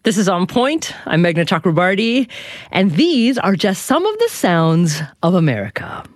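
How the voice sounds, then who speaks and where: A woman hosting a public radio talk show, talking in an animated way and laughing easily. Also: medium pitch, no echo, clean studio sound. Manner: lively, animated, quick with laughs. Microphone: studio microphone.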